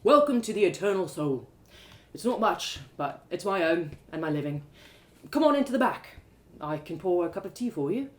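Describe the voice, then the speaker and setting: A woman acts out a busy storybook shoemaker, a man who grumbles yet welcomes warmly. His delivery is hearty and exclamatory, jumping about in pitch. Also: animated, normal speed, roomy sound, close to an everyday voice. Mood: welcoming. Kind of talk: storybook character voice.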